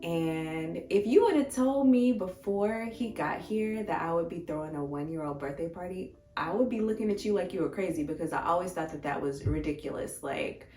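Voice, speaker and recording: Slightly hoarse American woman, echoey room. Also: on the low side, normal speed, hardly any pauses. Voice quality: a little raspy. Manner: informal, expressive, talks directly to viewers. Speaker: vegan food vlogger and host. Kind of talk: casual chatty life-update vlog. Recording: echoey room, distant mic, faint music.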